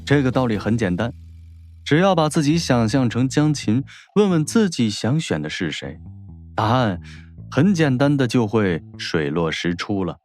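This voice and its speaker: Lively male audiobook narrator. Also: mid-range voice, moderate pace, soft background music. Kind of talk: dramatic scripted narration of a novel.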